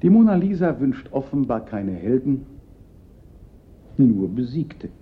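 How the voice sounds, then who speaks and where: An actor playing an urbane former police prefect in a German radio play, speaking with ironic consolation. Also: male voice, medium pitch, big pitch swings, a little slow. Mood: wry, consoling. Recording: thin phone-like band, noisy radio-play recording.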